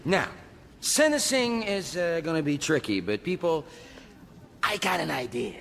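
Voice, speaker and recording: An actor playing a brash, laid-back alien judge who talks in slangy hipster patter in a radio comedy. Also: male voice, fairly high pitch, big pitch swings, measured pace, creaky, a bit bright, exaggerated voice. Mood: breezy, swaggering. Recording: long echoing hall, noisy ambience.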